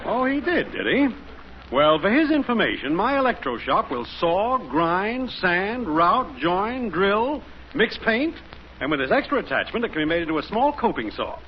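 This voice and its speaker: An actor playing a boastful, cheerful middle-aged neighbor in a 1950s radio sitcom. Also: male voice, high voice, very animated, normal speed. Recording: muffled, noisy old radio recording.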